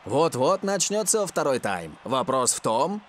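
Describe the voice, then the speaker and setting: A man voicing an expressive, opinionated football commentator in an animated cartoon, with emphatic delivery. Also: medium pitch, measured pace, a little hiss or hum. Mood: impressed.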